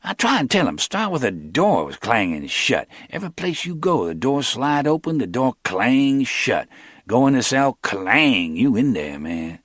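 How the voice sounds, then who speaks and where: A man voicing a streetwise young hustler who talks in quick, clipped street speech, animated and boastful in an audiobook reading. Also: mid-range voice, dry recording, studio quality, pitched above the performer's own voice.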